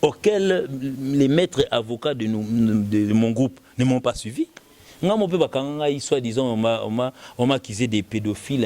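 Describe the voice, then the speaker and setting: Congolese man, halting and repetitive talker. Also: mid-range voice, a little mellow. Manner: halting, repeating words as the argument builds. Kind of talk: casual opinionated talk mixing French and Lingala.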